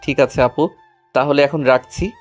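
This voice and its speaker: A man's voice: medium pitch, fairly even intonation, normal speed, soft background music, lightly acted. A man playing an earnest, polite, apologetic teenage schoolboy who is eager to please, in an audio story. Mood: polite, hopeful. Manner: polite, contrite. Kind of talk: Bengali audio story acting.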